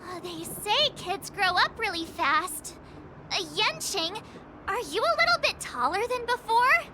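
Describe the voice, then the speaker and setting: A woman's voice: extremely high pitch, sing-song, a little slow, noisy background, exaggerated voice. A woman voicing a bubbly, teasing young woman adventurer in a game. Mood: cheerful. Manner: bouncy and teasing. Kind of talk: game voice acting. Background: game soundtrack music.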